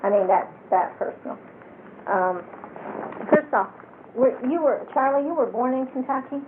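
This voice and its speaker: A woman's voice: sits high, lively intonation, moderate pace. Chatty woman interviewer on tinny tape. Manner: chatty, hesitant, with fillers. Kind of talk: casual interview. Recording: tinny lo-fi home tape with hiss.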